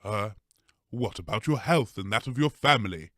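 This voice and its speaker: A man's voice: on the low side, lively intonation, moderate pace, a bit bright, no echo, clean studio sound. A man playing an earnest advert announcer in a parody radio commercial, with a salesmanlike manner. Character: earnest male advert announcer for a dubious clinic.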